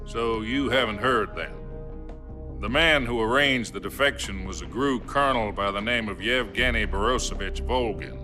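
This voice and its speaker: An actor playing a sober, formal American president in a cinematic video game, measured and diplomatic. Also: male voice, fairly low pitch, over music, natural voice. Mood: sober, regretful.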